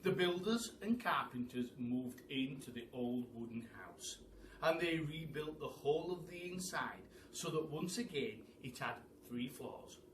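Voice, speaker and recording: A man reading a children's story aloud as a storyteller, in an animated way that speaks straight to his listeners. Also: a little high, measured pace. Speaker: teacher storyteller. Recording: echoey room, distant mic, faint noise.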